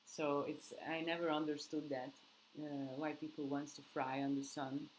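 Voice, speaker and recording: A woman's voice: low-pitched, flat delivery, normal speed, slight background noise, poor audio. A woman hosting a live Q&A in English that is not her first language, rambling casually with many restarts and fillers. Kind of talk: casual live-stream chat with viewers. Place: countryside home.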